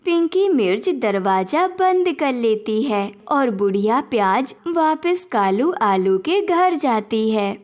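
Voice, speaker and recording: A woman's voice: on the high side, animated, slow, hardly any pauses, a little mellow. A woman reading scripted narration for a cartoon moral story as the storyteller.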